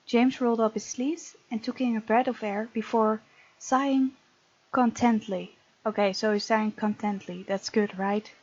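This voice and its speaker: High-voiced woman, dull lo-fi sound. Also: fairly even intonation, normal speed, a little mellow. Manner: chatty asides while reading. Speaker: gaming video host. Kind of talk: let's play, reading aloud with casual comments.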